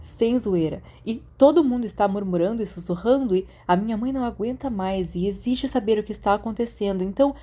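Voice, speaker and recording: Brazilian woman narrator with a mellow voice. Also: medium pitch, a little fast, hardly any pauses. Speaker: audiobook narrator. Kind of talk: expressive reading of fan fiction letters.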